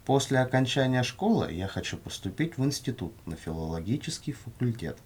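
A man reading scripted language-course answers in a measured, carefully enunciated way. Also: mid-range voice, very animated, darkish tone, a little hiss or hum. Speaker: language lesson reader.

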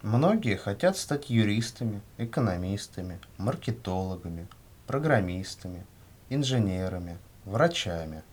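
A man reading scripted language-course answers in a measured, carefully enunciated way. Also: fairly low pitch, expressive, darkish tone. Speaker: language lesson reader.

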